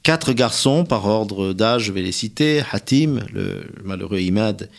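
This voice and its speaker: Creaky-voiced male radio interviewer. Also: fairly low pitch, expressive, unhurried. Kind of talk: prepared book interview on radio. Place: radio studio.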